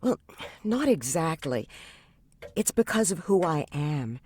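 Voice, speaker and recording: A woman's voice: low-pitched, big pitch swings, slow, a little mellow, a little hiss or hum, heavily acted. Frank, sardonic young woman in therapy. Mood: defensive, guarded. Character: outspoken, defensive young female therapy client. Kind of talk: audio drama dialogue.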